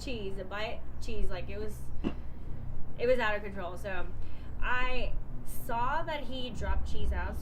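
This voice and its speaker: A woman in her late twenties with a Puerto Rican accent, Spanish her first language, talking candidly as an interview guest with lots of fillers and laughs. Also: mid-range voice. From Puerto Rico. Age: late 20s. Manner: chatty and candid, laughs often, many fillers. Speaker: guest, vegan bikini athlete. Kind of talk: casual interview storytelling. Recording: loud background noise.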